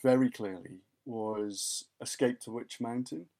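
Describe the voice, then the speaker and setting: A man's voice: medium pitch, measured pace, dark tone. A man from West Wales, a university academic chatting as a guest in a casual interview, often restarting his sentences. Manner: hesitant, many restarts and fillers.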